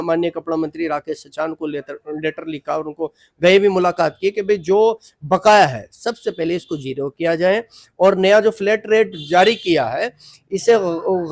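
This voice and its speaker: High-voiced man, an earnest community advocate. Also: dry recording, clean studio sound. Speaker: interviewee, weavers' community advocate.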